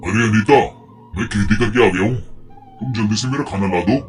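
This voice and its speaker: A man's voice: fairly low pitch, fairly quick, soft background music, close to an everyday voice. A man playing a plain-spoken village man in a cartoon moral tale, talking expressively. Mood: puzzled. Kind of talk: Hindi cartoon story dubbing.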